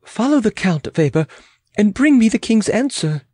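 A man's voice: high-pitched, sing-song, moderate pace, dark tone, breathy edge, no room sound, pitched above the performer's own voice, full character voice. A man voicing a proud, imperious and agitated queen in a dramatic audiobook, haughty and exclamatory. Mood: commanding. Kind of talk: dramatic audiobook character reading.

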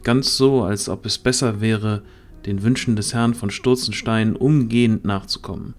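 A man's voice: fairly low pitch, steady tone, moderate pace, soft background music. A man reading dramatic scripted narration for a fantasy audio drama.

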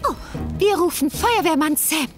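An actress playing an anxious, excitable mother in a German children's cartoon dub, exclaiming as she talks. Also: high voice, moderate pace, loud music behind the voice. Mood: worried. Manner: worried, exclaiming.

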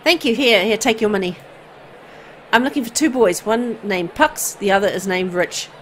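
A woman's voice: mid-range voice, moderate pace, slight background noise, lightly acted. A woman voicing an earnest, anxious young heroine of a horror game with a pleading, hesitant delivery. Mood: grateful, earnest.

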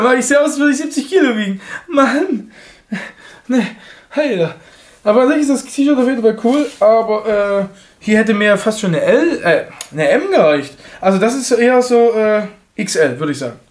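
A man's voice: very high voice, lively intonation, moderate pace. A young man giving casual, frank commentary as he unboxes a product and reviews it, speaking German with a slight foreign lilt and joking about himself. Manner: chatty, frank, laughs at himself.

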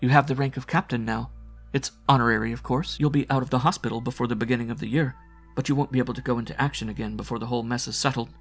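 A man voicing a brisk, kindly female hospital nurse in a science fiction story, calm and formal. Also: mid-range voice, faint music behind, lightly acted. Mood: informative.

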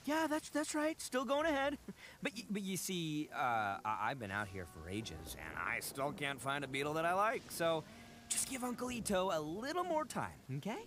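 A man's voice: a little high, very animated, normal speed, a bit bright. A man voicing a brash, boastful but good-hearted young oni gang leader in a video game, swaggering and slangy, stammering when flustered. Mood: flustered, bluffing. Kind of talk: animated video game voice acting.

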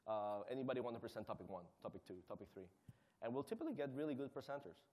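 A male panelist describes his volunteer community work in an energetic, conversational manner. He speaks quickly at a middle pitch. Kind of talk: conference panel talk.

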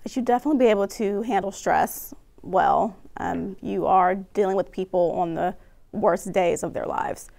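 American woman guest, mid-pitched with marked fry, explaining her job. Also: normal speed, darkish tone. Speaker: 911 dispatcher, interview guest. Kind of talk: explanatory studio interview answers. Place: indoor TV studio at a desk.

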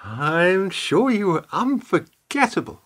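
Actor as a courteous, uneasy stage star. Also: male voice, high voice, very animated, slow pace, fairly bright tone, exaggerated voice. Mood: polite, uneasy. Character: courteous, uncomfortable male stage star placating a fan. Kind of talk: radio play acting.

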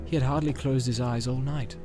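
A man's voice: medium pitch, steady tone, a little fast. A man narrating an audiobook in a dramatic storytelling style.

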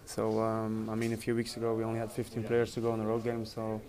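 A man in his thirties, a soccer team captain, answering reporters after a match in a halting, even-toned way that repeats words, with mics held close. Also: lowish voice. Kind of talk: post-match press interview. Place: indoor press area in front of a sponsor backdrop. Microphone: reporters' handheld mics and phones held close. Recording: noisy crowded press area. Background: crowd chatter.

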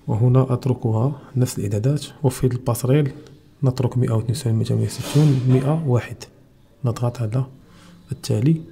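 A man's voice: medium pitch, vocal fry, mellow, slight background noise. A Moroccan man with a Moroccan Arabic accent, hosting a technical tutorial and explaining each step of a device setup. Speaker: channel host.

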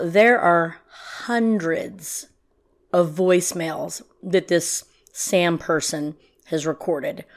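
A woman host giving informal commentary, speaking to listeners about audio clips she introduces. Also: lowish voice.

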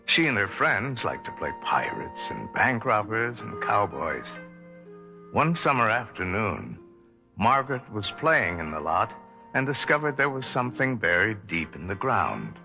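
A man's voice: fairly low pitch, sing-song, a little slow, vocal fry. A man giving a dramatic scripted introduction as a radio drama announcer, on a dull old broadcast recording. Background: music.

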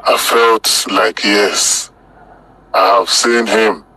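A Nigerian-accented man tells his own story in a casual way. His voice sits slightly low but has a bright, forceful edge. He moves in short, halting phrases and often repeats himself. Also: steady tone, breathy edge, low-quality recording. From Delta State, Nigeria. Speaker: storyteller recounting personal experience. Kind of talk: casual spoken testimony.

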